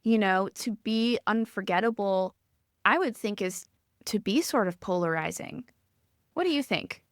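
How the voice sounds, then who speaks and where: This is a woman with a light Midwestern accent and a sweet, soothing voice in a mid register. She hosts a podcast interview and asks reflective questions in an unhurried, gentle way. Her voice sounds close and dry, with no room around it. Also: mid-range voice, dry recording. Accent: Midwestern American. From American Midwest. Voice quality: sweet, calming voice. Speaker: podcast host.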